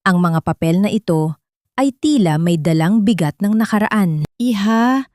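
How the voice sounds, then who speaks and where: A woman's voice: lowish voice, moderate pace, darkish tone, no room sound, clean studio sound. A woman reading a scripted dramatic story as an audiobook narrator.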